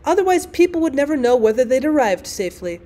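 A weary, tart wife from a classic storybook, read by a woman. Her fairly high voice carries wry, formal phrasing and practical impatience. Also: big pitch swings, fast talker, warm tone. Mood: objecting.

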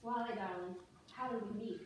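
A woman's voice: medium pitch, steady tone, fairly quick, close to an everyday voice. A woman playing a sweet, doting wife in an amateur reader's theater performance, recorded from a distance in a church. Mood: gently prompting. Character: sweet doting wife Susie, a kindergarten teacher. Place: on stage in a church, far camera. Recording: very echoey hall, distant mic, noisy.

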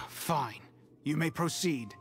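A man's voice: medium pitch, expressive, extremely slow, gravelly, a bit bright, faint music behind, heavily acted. A man voicing a wary, grumbling guard in a video game. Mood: irritated. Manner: cautious, irritated. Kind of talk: video game dialogue acting.

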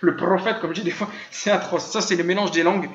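A man with a high voice and an Arabic-tinged French accent teaches a live religious class over voice chat. He talks in an animated way, keeps asking rhetorical questions and often chuckles. The sound is roomy and dull, like lo-fi chat-room audio. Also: fast. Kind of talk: live online religious lesson.

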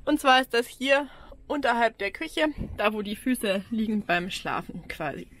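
A woman's voice: a little high, animated, normal speed, fairly bright tone. Chatty Austrian woman filming her van. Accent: Austrian German. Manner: chatty, frank, with frequent ums and small laughs. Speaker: vlogger. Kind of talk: casual vlog walkthrough. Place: in and around a camper van outdoors. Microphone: action camera held at arm's length.

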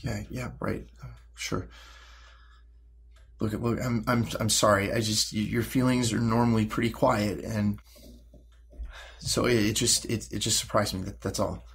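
Husky, troubled teenage boy. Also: lowish voice, steady tone, a bit bright, full character voice. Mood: apologetic, subdued. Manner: halting, stammering, defensive, sweary. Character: troubled, volatile sixteen-year-old boy empath in therapy. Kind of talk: naturalistic audio drama acting.

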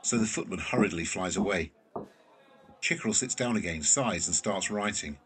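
A man's voice: deep voice, moderate pace, a bit bright, slightly rough. A man narrating an audio drama, reading out scripted stage directions. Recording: distant mic, faint ambience.

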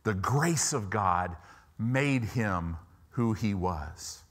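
A man's voice: lowish voice, animated, very slow, fairly bright tone. An American man in his fifties preaching a conversational sermon as a pastor, emphatic and repeating phrases to stress his points. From United States, Chicago and Southern California. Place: church auditorium stage, indoors. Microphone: lapel mic.

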